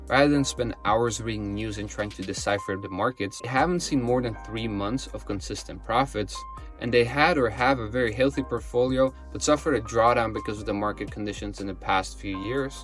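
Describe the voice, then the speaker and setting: A man in his twenties from Austria, a company co-founder delivering a scripted promotional monologue in a confident, steady way. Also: medium pitch. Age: 20s.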